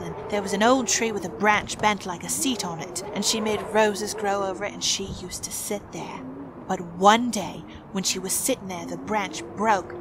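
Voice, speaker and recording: An actress playing a kindly young housemaid, telling things in a homely, gossipy way. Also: female voice, medium pitch, background noise, lightly acted. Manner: gossipy, homely dialect storytelling.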